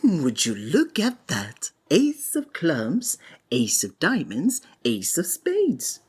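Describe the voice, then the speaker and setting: A woman playing a flamboyant, sinister male stage magician in an ASMR roleplay, with breathy, honeyed, flowery theatrical speech that often drops into a whisper. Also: low-pitched, wildly swooping pitch, takes it slowly, a little mellow, exaggerated voice. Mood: showy, silky.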